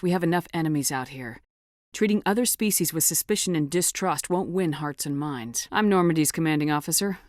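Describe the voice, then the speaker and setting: Steely low-voiced female starship commander played by an actress. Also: a little mellow, no echo, clean studio sound, lightly acted. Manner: firm, direct, controlled, authoritative. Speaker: video game protagonist. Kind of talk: scripted video game dialogue acting.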